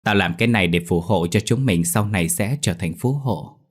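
A man voicing a cheeky, scheming village boy who brags loudly. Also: on the low side, a little fast, breathy edge. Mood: bold bragging.

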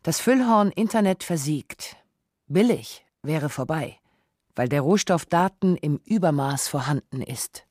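Mellow, close-up documentary narrator. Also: mid-range voice, animated, no room sound. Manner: measured, essayistic, rhetorical questions.

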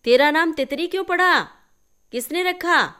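A woman's voice: extremely high pitch, unhurried, bright, forceful tone, pitched above the performer's own voice, heavily acted. Woman as a flustered, curious young woman. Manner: questioning, exclaiming. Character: curious, flustered young city-bound woman, indignant and scheming. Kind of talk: acted dialogue in a story reading.

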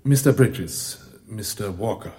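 An actor playing a shrewd, courteous arms dealer in a German radio drama, smooth and formal in his selling. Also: on the low side, takes it slowly, warm tone. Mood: assured, formal.

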